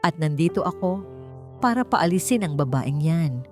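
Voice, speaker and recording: A woman's voice: low voice, animated, normal speed, faint music behind. Woman voicing a stern, accusing lady doctor. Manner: commanding, accusatory.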